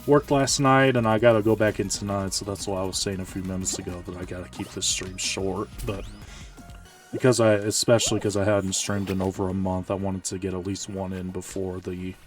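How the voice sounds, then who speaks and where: A male streamer chatting casually while playing a game, rambling and correcting himself, sounding tired and a little hoarse. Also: steady tone, brisk, recorded from a distance, soft background music. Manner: rambling, self-correcting, low-key. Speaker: Twitch streamer. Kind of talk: casual live-stream gaming chat.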